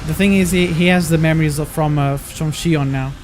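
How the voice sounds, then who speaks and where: A man co-hosting a gaming stream, chatting casually in a hesitant, halting way. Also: a little high, fairly even intonation. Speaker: stream co-host. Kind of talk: casual chat.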